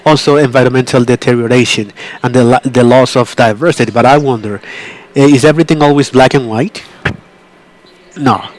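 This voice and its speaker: A man interpreting a conference talk live, in a halting way with frequent restarts and self-corrections. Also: medium pitch, steady tone, poor audio.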